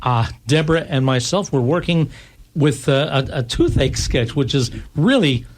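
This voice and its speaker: Male radio host, somewhat high-pitched, chatty and informal, folksy live interview delivery. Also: expressive, normal speed. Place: radio station studio.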